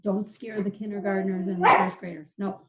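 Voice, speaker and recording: A woman's voice: medium pitch, level tone, brisk, very noisy. A woman reading a storybook to young children, adding sound effects and simple explanatory asides. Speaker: read-aloud presenter for young children.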